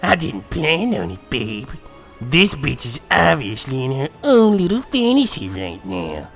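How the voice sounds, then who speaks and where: Woman playing a slow, creaky, sneering female fighter. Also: very deep voice, huge pitch swings, soft background music, exaggerated voice. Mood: taunting. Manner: sneering, taunting, crude. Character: haughty, mocking female fighter, impatient and menacing. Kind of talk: anime fan-drama acting.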